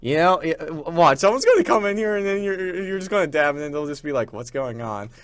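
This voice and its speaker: A young man co-hosting a radio talk show with casual, joking banter in a playful, halting way. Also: on the high side, animated, clear, resonant tone.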